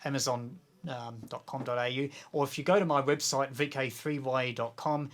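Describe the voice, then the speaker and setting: Male interview guest, Australian accent, middle-pitched voice, keen hands-on explainer in relaxed conversation. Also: brisk. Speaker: guest, amateur radio video maker and author. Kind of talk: casual interview, explanatory chat.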